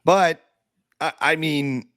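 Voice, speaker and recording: A man on a sports podcast, hoarse and raspy from being sick, talking bluntly and with self-deprecating humor. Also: a little high, slow pace, a bit bright, no echo, clean studio sound. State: hoarse from illness. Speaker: podcast co-host. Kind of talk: casual sports podcast talk.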